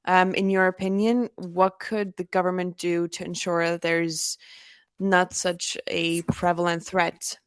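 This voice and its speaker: A woman's voice: on the low side, little pitch movement, a little slow, clear, resonant tone, no echo. A woman hosting a conversational interview, phrasing her questions politely and carefully. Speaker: podcast host, interviewer.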